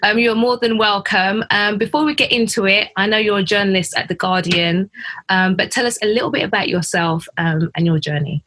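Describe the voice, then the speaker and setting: A woman hosts a casual podcast chat, friendly and giggly, filling pauses with ums. Her voice is bright and forceful at a middle pitch. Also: a little hiss or hum. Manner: friendly and giggly, lots of fillers. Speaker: podcast host.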